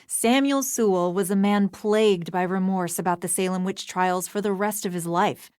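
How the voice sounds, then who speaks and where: Woman playing scholarly lady historian for a video game, formal and precise, mid pitch, dry sound. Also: normal speed, darkish tone, clear, resonant tone, dry recording, natural voice. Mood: earnest, lecturing.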